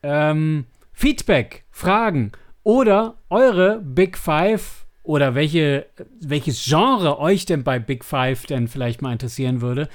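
A man's voice: fairly high pitch, animated, takes it slowly. A man co-hosting a podcast in casual chat, searching for his words as he goes. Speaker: podcast host. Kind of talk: casual chat about audio dramas.

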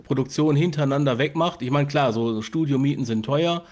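A man's voice: mid-range voice, brisk, darkish tone. A man co-hosting a podcast, chatting casually as he interviews a guest. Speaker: podcast co-host.

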